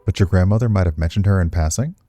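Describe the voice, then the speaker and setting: A man's voice: low voice, fast talker, warm tone, no echo, faint music behind. A man reading visual novel dialogue as a nagging, inquisitive voice inside a young dancer's head. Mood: prodding.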